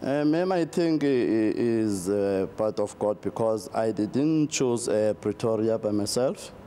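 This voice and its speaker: A middle-aged South African man, a pastor, answering interview questions slowly with many fillers and restarts, recorded in a TV studio on a lapel mic. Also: on the low side, animated, dark tone, slight background noise.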